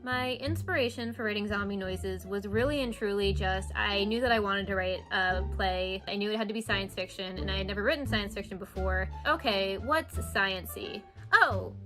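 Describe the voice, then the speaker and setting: A woman's voice: mid-range voice, moderate pace, fairly bright tone. A woman playwright talking enthusiastically and conversationally in a casual promotional interview about her own work. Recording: music behind the voice. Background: music.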